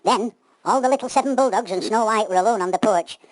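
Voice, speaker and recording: A woman narrates a children's pantomime in a homely storytelling way. Her voice is fairly high. The sound is dry and close, with no room to it. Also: fast talker, no echo, low-quality recording.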